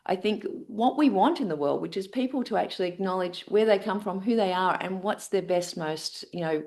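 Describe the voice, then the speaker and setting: A woman's voice: mid-range voice, a little fast, darkish tone. A woman from Australia with an Australian accent hosting an interview, effusive and full of fillers and restarts. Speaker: podcast host.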